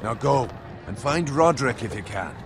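An actor playing a proud middle-aged medieval lord in a fantasy game, speaking in a measured, formal, commanding way. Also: male voice, medium pitch, lively intonation, background noise, close to an everyday voice. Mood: calm, fatherly. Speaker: video game character actor. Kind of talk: cinematic fantasy game dialogue. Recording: game music behind the voice.

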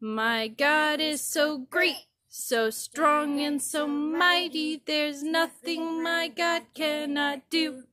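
Woman, high pitch, slow cheerful song leading, children's worship, encouraging. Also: fairly even intonation, sharp tone, faint music behind. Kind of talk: leading children's action songs.

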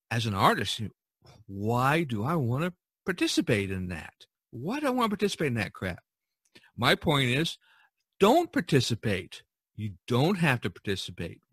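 A middle-aged or older American man hosting a podcast in a conversational way, with a husky voice. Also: mid-range voice, dry recording, studio quality. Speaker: podcast host.

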